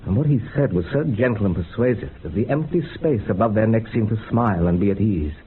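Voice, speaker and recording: An actor playing a genteel experimenter in a radio drama, describing grisly visions in a measured, literary, matter-of-fact way. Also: male voice, on the low side, expressive, lightly acted. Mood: calm, entranced. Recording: muffled narrow-band radio recording, background hiss.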